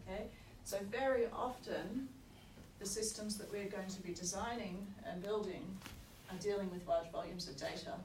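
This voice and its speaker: A woman lecturer giving a university lecture with a croaky voice, explaining at a measured pace with frequent checks like "okay". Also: medium pitch, a little mellow. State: croaky, recovering from lost voice. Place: lecture hall. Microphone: room recording from far off. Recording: echoey hall, distant mic, noisy.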